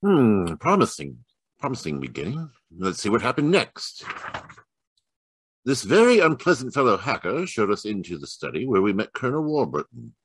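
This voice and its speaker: Musing gentleman detective male actor, vintage radio: low voice, very animated intonation, wry and deliberate, dry video-call sound. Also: slightly rough, no echo, full character voice. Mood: musing, amused. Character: musing, keen gentleman detective reading a letter aloud. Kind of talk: 1940s radio mystery acting. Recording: live performance over video call.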